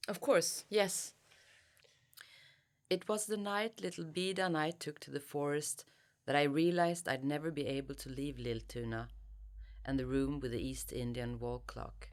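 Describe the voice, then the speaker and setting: A woman's voice: sits low, steady tone. A woman with a Swedish accent, an author who speaks thoughtfully as an interview guest or reading from her own writing. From Sweden. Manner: halting, searching for words. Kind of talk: thoughtful interview talk and reading aloud from a novel.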